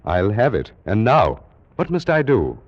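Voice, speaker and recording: Animated, low-voiced Egyptian priest. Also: male voice, measured pace, exaggerated voice. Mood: amazed, eager. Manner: hearty, theatrical exclamations and formal phrasing. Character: worldly, eager ancient Egyptian priest, the alchemist's friend. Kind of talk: 1940s radio drama acting. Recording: muffled old-time radio recording.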